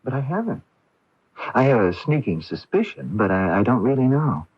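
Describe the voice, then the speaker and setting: Husky, gentle young radio-drama doctor. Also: male voice, mid-range voice, big pitch swings. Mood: friendly, hesitant. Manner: halting, hesitant, soothing. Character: kind, earnest young male medical intern, gently evasive. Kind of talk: 1980s radio drama acting. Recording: dull lo-fi 1980s AM radio recording.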